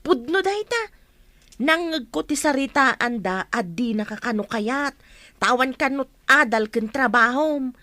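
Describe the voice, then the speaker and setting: High-pitched nosy village gossip, acted by a woman. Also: expressive, a little slow, sharp tone, no room sound, heavily acted. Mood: gossipy. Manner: chatty, exclaiming, probing. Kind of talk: Ilocano comedy radio drama acting.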